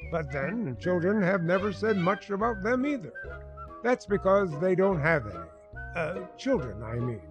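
A man's voice: high-pitched, sing-song, normal speed, music in the background. A man narrating a scripted radio sitcom as announcer, in a droll, old-time style.

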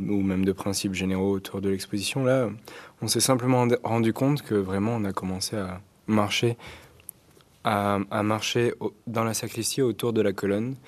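A young man in his twenties, Arabic his first language, answering interview questions hesitantly with many fillers and restarts. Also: fairly low pitch. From Algeria. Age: 20s. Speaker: guest artist. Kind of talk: radio interview.

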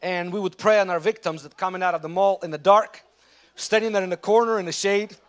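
A man with a Russian accent preaching a sermon as a pastor, telling stories with lively restarts. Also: high voice, a little fast. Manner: animated storytelling preacher with frequent restarts.

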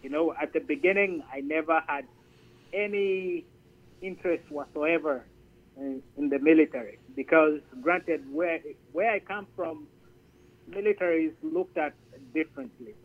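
Man, slightly high voice, halting, repeats words, tinny phone line. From Zambia. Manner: halting, repeats words while searching for them. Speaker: Air Force chaplain and priest, guest. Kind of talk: conversational radio interview.

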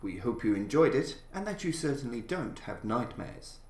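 Male podcast host, dramatic measured storyteller with a mid-range voice, recorded in a reverberant room. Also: medium pitch, big pitch swings. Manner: measured, theatrical storytelling with slow emphatic passages. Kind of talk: dramatic scripted narration of a horror story. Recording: roomy echo.

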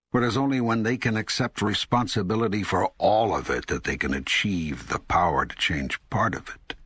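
An older man voicing God as a wise, patient teacher in an audiobook, raspy, measured and authoritative, with emphatic pauses. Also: sits low, fairly bright tone, no echo, studio quality, exaggerated voice. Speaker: audiobook co-narrator. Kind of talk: scripted narration, spiritual dialogue.

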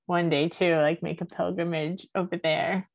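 A woman's voice: fairly low pitch, level tone, normal speed, gravelly, dry recording, highs cut off. A woman hosting a casual, chatty conversation in an informal, enthusiastic way. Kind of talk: casual chat.

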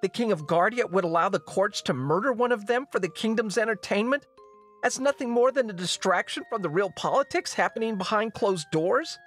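A man's voice: sits high, lively intonation, a little fast, fairly bright tone, faint music behind, pitched above the performer's own voice. A man voicing a defiant, emotional young runaway princess in an indignant, exclamatory storybook narration style. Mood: angry, hurt.

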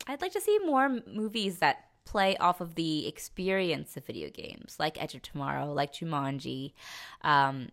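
A woman's voice: low voice, animated, moderate pace, fairly bright tone. A young woman co-hosting a podcast, chatting casually about pop culture and rambling as she thinks aloud. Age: young adult. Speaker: podcast co-host.

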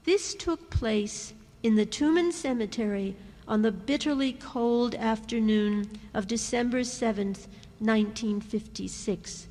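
Slow, measured woman reading prose. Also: a little high, darkish tone. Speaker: reader. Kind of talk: literary reading aloud. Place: church hall. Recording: reverberant church.

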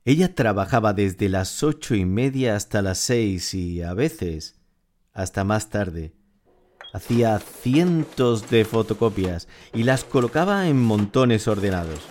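Male actor as wistful smitten storyteller, lowish pitch, devoted, literary audio fiction narration. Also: lively intonation, takes it slowly, darkish tone.

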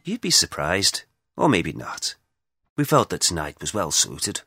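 An actor playing a solemn, dry-spoken exorcist priest in an audio drama, husky, measured, formal and reserved. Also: male voice, low voice, big pitch swings, bright, forceful tone, heavily acted. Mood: probing, calm.

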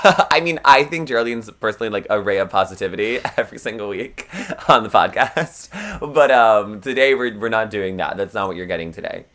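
A man's voice: fairly low pitch, expressive, a little fast, a bit bright. A young man with a froggy voice, co-hosting a podcast in rambling, self-deprecating casual chat and laughing often. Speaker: podcast co-host.

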